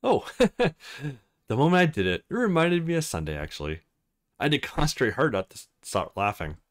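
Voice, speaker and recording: A man voicing a sweet, gently teasing young female model in a visual novel. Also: on the high side, very animated, a little fast. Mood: amused.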